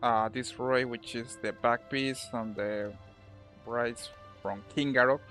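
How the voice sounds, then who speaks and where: A Colombian man who mainly speaks Spanish, chatting casually and a bit haltingly as he streams a game, often restarting mid-sentence. His voice sits mid-range, with faint music under it. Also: mid-range voice, fairly even intonation, fairly bright tone. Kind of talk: casual gameplay commentary.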